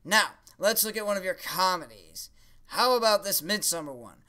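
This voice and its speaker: A man's voice: high-pitched, lively intonation, moderate pace, bright, forceful tone, heavily acted. A man voicing a jaded creative writing professor in a puppet comedy, blunt, scornful and full of exasperated sarcasm. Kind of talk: puppet show comedy acting.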